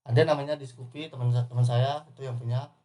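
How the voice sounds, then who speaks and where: A young man from Indonesia, a barista guest, talking in a relaxed, rambling way with restarts in a casual podcast chat. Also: medium pitch, fast. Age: young adult.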